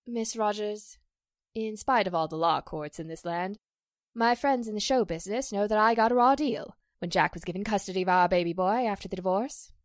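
A woman playing a distressed, pleading stage actress in a 1940s-style radio drama, halting and emotional. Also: mid-range voice, dry recording, clean studio sound, lightly acted. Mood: hurt, subdued. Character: distressed stage actress accused of a publicity stunt, pleading, wounded.

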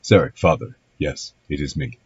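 A man plays a logical half-human science officer in a radio play. The voice is very low and a little rough, with formal, deliberate, deadpan delivery. The recording is slightly dull and lo-fi. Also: heavily acted. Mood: stoic, inquiring. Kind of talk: scripted amateur radio play.

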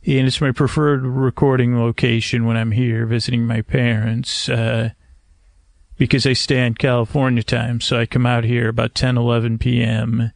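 A man hosting a bedtime podcast, rambling in a halting, meandering way, often interrupting himself and getting mixed up, with a creaky, gravelly voice. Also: mid-range voice, no room sound, studio quality. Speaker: podcast host. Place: homemade screened outdoor porch at night.

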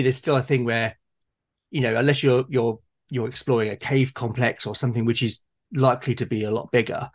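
Hesitant man with a mid-pitched voice. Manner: hesitant, repeats words while thinking. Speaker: tabletop gaming podcast speaker. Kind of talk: casual conversational discussion.

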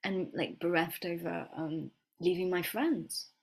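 Reflective woman guest, lowish voice. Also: steady tone, measured pace, gravelly, husky, low-quality recording. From grew up in Florida, then England. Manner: reflective, hesitant storyteller with many fillers and restarts. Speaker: guest, herbalist and medicine maker. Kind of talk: conversational interview.

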